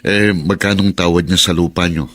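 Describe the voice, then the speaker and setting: Casting: low-voiced, sensible Filipino older brother, measured adviser, radio drama. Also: male voice, steady tone, a bit bright. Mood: practical, advising.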